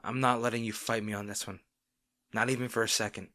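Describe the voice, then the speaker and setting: A man playing a caring boyfriend in an intimate audio roleplay, talking tenderly and with gentle insistence to his partner. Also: fairly low pitch, flat delivery, fast talker. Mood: gently firm. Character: caring, gently firm young boyfriend soothing an overworked partner.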